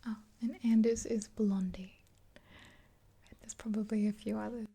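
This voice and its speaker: Casting: woman in her twenties, soft husky whisper, subdued intonation, casual ASMR makeup-artist roleplay. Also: medium pitch, level tone, unhurried. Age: 20s. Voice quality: breathy whisper. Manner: soft, gentle, rambling.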